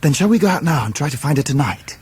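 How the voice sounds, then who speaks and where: Earnest young English gentleman, faint noise. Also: on the high side, very animated, quick pace. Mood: earnest urgency. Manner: polite, earnest, well-spoken. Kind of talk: period radio drama acting.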